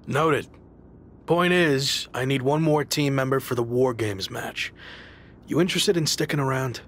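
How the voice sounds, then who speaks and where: A man's voice: mid-range voice, measured pace, fairly bright tone, slight background noise, lightly acted. A man playing a determined pro wrestler in a video game cutscene, dry and understated in manner. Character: determined, dry-witted male pro wrestler leading a team.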